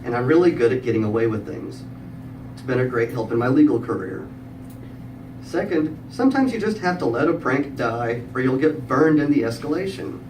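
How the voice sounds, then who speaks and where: A man's voice: mid-range voice, animated, normal speed. Echoey, distant recording of a wry male storyteller. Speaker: storyteller at a live storytelling event. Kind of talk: live humorous storytelling, prepared script. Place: live event room with audience. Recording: echoey room, distant mic, clear background noise.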